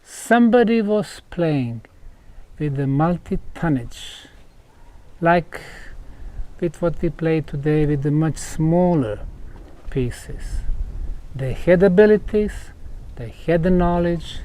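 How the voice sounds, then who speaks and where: A man from Bosnia presenting as a researcher on location outdoors, explaining in deliberate, emphatic short phrases with pauses between them. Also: fairly high pitch, animated, dark tone. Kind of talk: explanatory on-site presentation to camera. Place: outdoors at a rock site.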